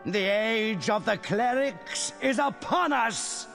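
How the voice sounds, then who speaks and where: An actor playing a grandiose, scheming bishop villain in a video game, with pompous, theatrical delivery and ornate speech. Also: male voice, high voice, lively intonation, slow, fairly bright tone, heavily acted. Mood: exultant. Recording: game dialogue over clear background music.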